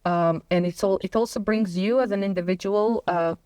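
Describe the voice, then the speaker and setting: A woman from Croatia and Bosnia, a guest on a company talk show, speaking in unhurried English with many fillers. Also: mid-range voice, dark tone, no room sound. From Croatia and Bosnia and Herzegovina. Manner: hesitant, many fillers, laughs between points. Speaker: podcast guest. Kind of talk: casual conversation.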